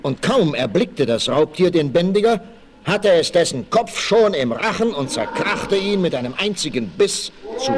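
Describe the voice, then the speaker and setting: An actor narrating a western adventure in an emphatic, suspenseful style for a 1960s radio play. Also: male voice, mid-range voice, natural voice. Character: dramatic storyteller of a western adventure. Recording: vintage vinyl radio play, faint noise.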